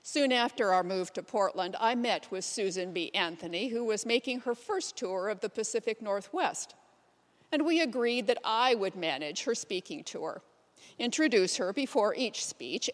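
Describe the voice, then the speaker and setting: A woman's voice: mid-range voice, close to an everyday voice. Woman playing a determined suffragist in a reverberant hall. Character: elderly pioneer suffragist, determined and plain-spoken, recounting her life. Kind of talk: readers theater first-person monologue.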